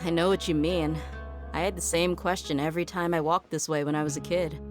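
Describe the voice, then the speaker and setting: A woman playing a tough, protective desert mercenary in a video game, measured and serious. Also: sits low, steady tone, close to an everyday voice. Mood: bitter, grave. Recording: music playing behind the voice. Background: game background music.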